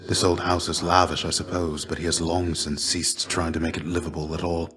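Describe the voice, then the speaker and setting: A fallen Greek hero turned loyal mentor in a video game. His voice sits low, and he speaks softly in measured, formal, old-fashioned phrases. He carries a gentle melancholy and an intimate, confiding manner. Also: level tone. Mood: calm, reflective. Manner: soft-spoken, formal, archaic phrasing.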